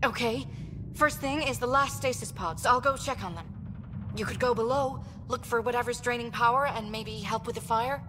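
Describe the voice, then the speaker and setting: A fairly high-pitched, earnest alien engineer played by a woman in a sci-fi game. She sounds devout, sincere and urgent, with long reverb and music behind her. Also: moderate pace, close to an everyday voice. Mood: worried, focused. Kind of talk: video game voice acting.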